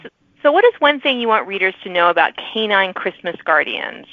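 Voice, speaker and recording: A woman's voice: medium pitch, very animated, brisk. Chatty woman host with narrow, phone-like sound. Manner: chatty, encouraging. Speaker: podcast host, interviewer. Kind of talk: conversational interview.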